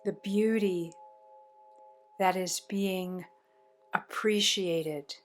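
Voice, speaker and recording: Very slow-paced woman guiding a meditation. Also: medium pitch, steady tone, faint music behind. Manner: measured, pausing between short phrases. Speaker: meditation guide. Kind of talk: guided meditation, slow spoken phrases.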